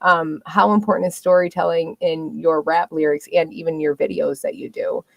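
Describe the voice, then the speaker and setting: A woman's voice: deep voice, level tone, moderate pace, creaky, no echo. A woman, interviewing in a casual, informal way as a podcast host.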